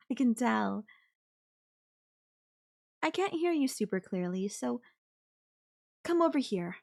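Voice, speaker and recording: A woman voicing a whimsical, flippant goddess in an ASMR roleplay, musing airily with long dramatic pauses. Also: a little high, very animated, gravelly, a little mellow, exaggerated voice. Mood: playful, friendly. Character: whimsical, airy, flippant yandere goddess watching Earth die. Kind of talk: ASMR audio roleplay acting.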